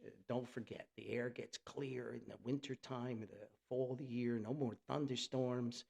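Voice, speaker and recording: A man's voice: mid-range voice, creaky. A man hosting a podcast in a casual, unscripted monologue, talking with folksy enthusiasm like a hobbyist sharing what he loves. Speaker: podcast host and radio hobbyist.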